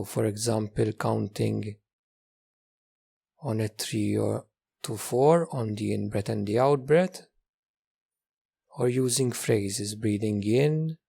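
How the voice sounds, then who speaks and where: A man leading a guided meditation as its teacher, giving gentle instructions in a calm, measured way with repeated phrases and long pauses. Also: lowish voice, creaky, husky.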